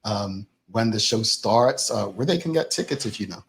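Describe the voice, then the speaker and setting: A man from Bermuda hosting a talk show interview, measured and using brief affirmations, reading audience comments aloud. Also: on the low side, lively intonation, slight background noise.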